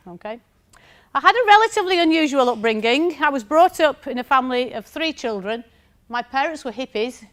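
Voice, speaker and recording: A woman's voice: high-pitched, fairly bright tone, clean tone. A woman from the north of England with a northern English accent, giving a chatty, anecdotal conference talk in a lecture room and often checking in with the audience. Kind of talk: conference presentation.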